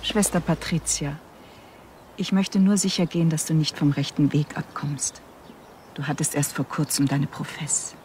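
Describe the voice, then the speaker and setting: A woman's voice: low voice, normal speed, a little mellow, a little hiss or hum, natural voice. A woman as a stern Mother Superior. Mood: admonishing. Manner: severe, preaching, formal. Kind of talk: TV drama acting.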